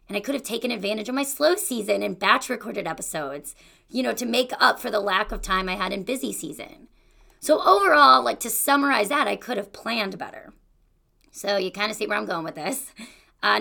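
Young adult woman, podcast host, casual encouraging monologue, gravelly fry in the voice. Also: mid-range voice, bright, forceful tone. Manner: chatty and encouraging, with fillers like "you know" and "like".

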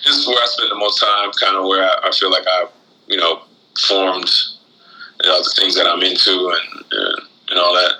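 A man from Florida chatting casually as an interview guest, halting and reflective as he talks. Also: low-pitched, level tone, sharp tone, a little hiss or hum, low-quality recording. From Florida, United States, born in Guyana. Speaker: interview guest, rapper and shoe designer.

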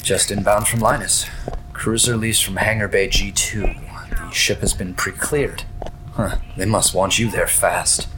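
A man plays a protective male assistant in a radio drama. The voice is fairly low, formal and fussy, officious in tone. Clear background noise sits behind it. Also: fairly even intonation, measured pace, natural voice. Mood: businesslike. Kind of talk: audio drama acting.

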